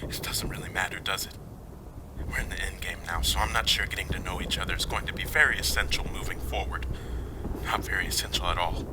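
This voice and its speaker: A man playing a brooding, unhinged doomsday survivalist caller in a radio drama, breathy, ominous, rambling and preacherly. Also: low-pitched, steady tone, fast talker, background noise, natural voice. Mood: ominous, resigned. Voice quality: breathy, half-whispered.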